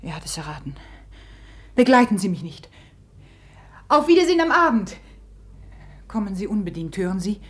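An actress playing a proud, capricious young society woman with a theatrical, measured delivery in a 1950s German radio drama. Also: medium pitch, very animated, close to an everyday voice. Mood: shaken, intimate.

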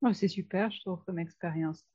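A woman's voice: lowish voice, moderate pace, warm tone, slightly rough. A woman interviewing a guest, curious and rephrasing her questions as she goes. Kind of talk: conversational interview. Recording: dull lo-fi sound.

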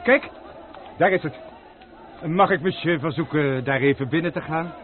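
An actor playing a polite, formal servant who gently steers his master where he wants him to go. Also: fairly high pitch, moderate pace, lightly acted. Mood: deferential. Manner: deferential, formal, gently persuasive. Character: polite male servant with a love of cooking. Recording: tinny old radio recording, busy crowd.

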